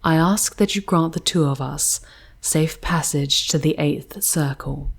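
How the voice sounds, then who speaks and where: A woman playing a tender female demon guide in a breathy, intimate ASMR roleplay, speaking formally and soothingly, often in whispers, with some background noise. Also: deep voice. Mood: steady, protective. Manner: slow, archaic formal diction, intimate and soothing.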